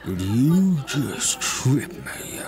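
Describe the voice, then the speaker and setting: A man's voice: medium pitch, sing-song, very slow, darkish tone. A man playing a big, surly drunkard in an audiobook, speaking in a threatening, drunken manner. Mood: menacing. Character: big surly drunkard, slurring, menacing tavern brute. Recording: music and noisy tavern crowd behind.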